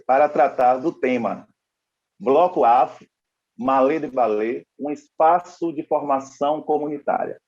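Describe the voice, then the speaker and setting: A young man from Salvador, giving a formal, measured introduction as panel moderator, with pauses and restarts. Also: medium pitch, a little mellow, husky, dull audio. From Salvador, Bahia, Brazil.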